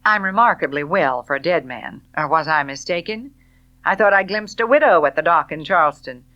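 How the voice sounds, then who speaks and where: A woman voicing a cool, suave gentleman in an audiobook, speaking with mocking, sardonic politeness. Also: lowish voice, expressive, fairly quick, highs cut off, lightly acted. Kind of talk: audiobook character dialogue.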